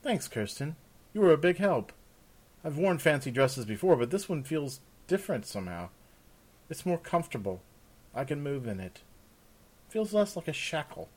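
A man's voice: on the high side, very animated, normal speed, long pauses, darkish tone, slightly rough, voice pushed up. A man playing a blunt, headstrong woman of rank who talks informally and demandingly in a fantasy story. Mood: grateful. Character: blunt, headstrong young noblewoman, easily exasperated. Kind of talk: fantasy audiobook character.

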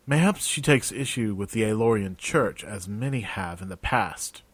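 A calm, learned cleric speaking in a measured and formal way, as a narrator gives him voice. The delivery is steady and recorded dry and close. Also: male voice, fairly low pitch, slightly rough, dry recording.

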